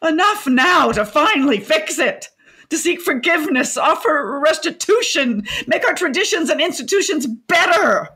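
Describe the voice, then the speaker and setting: An actress playing an opinionated, garrulous older widow in a comedic radio play, with a wordy, theatrical, dryly sardonic delivery. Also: female voice, medium pitch, lively intonation, a little slow, fairly bright tone. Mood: impassioned, fervent. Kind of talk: comedic radio drama acting.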